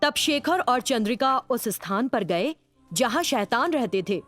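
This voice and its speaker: A woman's voice: a little high, lively intonation, a little slow, a bit bright, soft background music. A woman narrating a scripted children's cartoon story.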